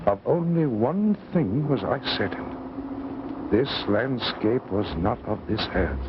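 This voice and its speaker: An actor playing an absorbed bachelor professor, genial but evasive, in theatrical 1950s radio drama style. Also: male voice, medium pitch, animated, slow. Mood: obsessed, intense. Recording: muffled, noisy 1950s radio recording.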